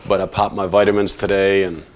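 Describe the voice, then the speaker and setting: A hoarse man co-hosting a live stream, talking in a laid-back, casual way. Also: low voice, little pitch movement, a little fast, noisy background. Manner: laid-back, brief interjections. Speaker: co-host.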